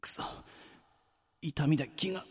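A man's voice: on the high side, unhurried, husky. A man acting a stubborn, secretive captive under interrogation in an amateur radio drama, with tense dramatic delivery. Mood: brooding, weary. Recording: long hall reverb, faint music.

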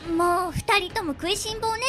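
A sweet, delicate young girl in a comic radio drama. Her voice is extremely high and cutesy, polite yet quick to sound theatrically hurt. Music is clearly heard behind her. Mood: sweet, cheerful. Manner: cutesy, polite, melodramatically self-pitying. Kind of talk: amateur comedic radio drama acting.

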